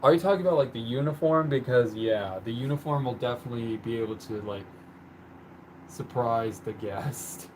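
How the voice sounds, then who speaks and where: A man talks loosely into his stream, his voice sitting at a middling pitch with a lot of "like" and "um" between thoughts. Faint room noise hums underneath him as he chats at home. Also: moderate pace, darkish tone, rough recording. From born in the country where he lives. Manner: chatty, rambling, with many "like" and "um" fillers. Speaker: livestream host. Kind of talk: casual livestream chat. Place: indoors at home, painting on the floor.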